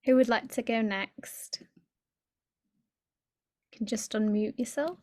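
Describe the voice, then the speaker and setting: A woman's voice: on the high side, slow pace. Effusive woman hosting a video call. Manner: upbeat, effusive and encouraging. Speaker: online group host. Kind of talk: casual video-call introductions.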